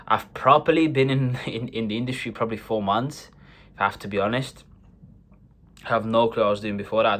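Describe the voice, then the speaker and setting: A man's voice: fairly low pitch, fairly bright tone. A man in his twenties from Bulgaria, talking earnestly in a rambling, personal vlog monologue with many fillers. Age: 20s. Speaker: vlogger.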